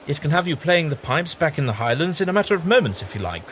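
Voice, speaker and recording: A man's voice: a little high, very animated, fast, fairly bright tone. A man playing a kindly, bookish, eccentric time traveller with an amiable, slightly absent-minded manner in an audio drama. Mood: helpful, distracted. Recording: audible background noise.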